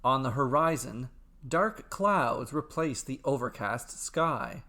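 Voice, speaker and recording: A man tells a scripted myth in a dramatic storytelling style. His phrasing is slow, measured and deliberate, and his pitch is lively and varied.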